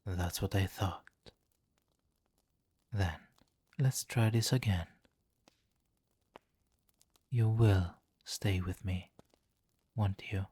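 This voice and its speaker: Man playing a slow, low-voiced seductive vampire king. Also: breathy edge, heavily acted. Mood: possessive, coaxing. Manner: slow, coaxing, possessive, softly commanding, often near whisper. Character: seductive, domineering male vampire king, velvety and condescending. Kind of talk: ASMR roleplay with hypnotic murmuring.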